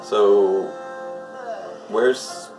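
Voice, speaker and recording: A man's voice: fairly low pitch, steady tone, very slow. A man giving casual, off-the-cuff live commentary on a show, hesitantly musing aloud as he goes. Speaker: host of a live reaction show. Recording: distant mic, TV show music behind. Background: television show playing with music.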